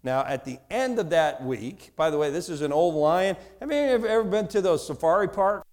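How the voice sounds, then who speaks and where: A man with a New Jersey accent preaching a sermon, telling a story and acting out both sides of a conversation with lively, repeated words. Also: a little high, brisk. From United States. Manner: animated, acts out dialogue, repeats words.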